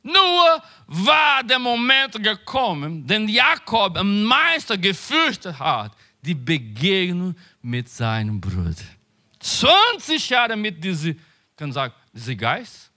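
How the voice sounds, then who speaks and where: High, lively, bright-voiced preaching man. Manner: emphatic preaching with quiet asides; halting, searches for words. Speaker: pastor. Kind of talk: sermon.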